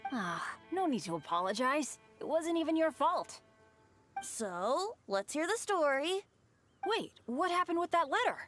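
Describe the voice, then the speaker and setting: A woman's voice: high-pitched, sing-song, unhurried, a bit bright, soft background music, full character voice. A woman voicing an eager, earnest young male adventurer in a video game, boastful and cheerful as he tells his story. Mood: friendly, earnest.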